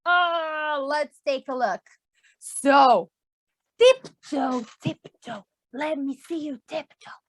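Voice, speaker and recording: A woman's voice: high voice, slow pace, no room sound. A woman telling a story to a class of children in a theatrical, exaggerated way, repeating phrases as a teacher does. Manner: gasps for dramatic effect.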